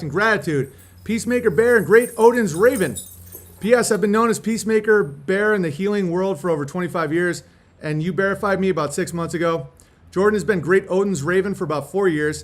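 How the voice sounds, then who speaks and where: A man chatting casually in slangy, sweary language as a host, partly reading a letter aloud. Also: high-pitched. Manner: laid-back slangy talk with frequent swearing.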